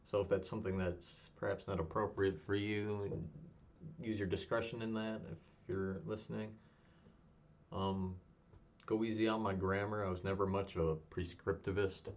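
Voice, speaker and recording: Low, even-voiced man reading poetry. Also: moderate pace. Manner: low-key, even, self-described monotonous delivery. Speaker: poet reading his own work. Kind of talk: spoken word poetry reading. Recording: dull lo-fi home recording.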